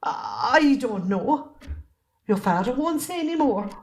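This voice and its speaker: Woman acting exasperated Irish wife, higher-pitched. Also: very animated, slow, heavily acted. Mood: fretful, weary. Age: middle-aged. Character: long-suffering, exasperated Irish wife and mother, fiery yet worn down. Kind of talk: amateur stage drama acting.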